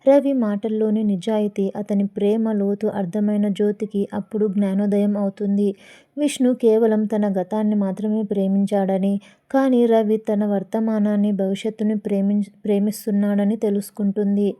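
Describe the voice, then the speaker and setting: A woman's voice: medium pitch, flat delivery, normal speed, mellow. A woman with a Telugu accent narrating a scripted audio story as its host.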